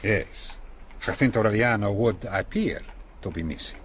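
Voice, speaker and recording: An actor playing a gentle, reserved young Spanish priest, formal, hesitant and grave, in a radio historical drama. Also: male voice, fairly low pitch, lively intonation, normal speed, lightly acted.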